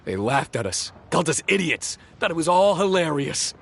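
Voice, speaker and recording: A man's voice: a little high, very animated, normal speed, vocal fry, a bit bright, a little hiss or hum, heavily acted. A man playing an anxious, down-on-his-luck small-time merchant in a video game, earnest and flustered as he speaks. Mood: desperate.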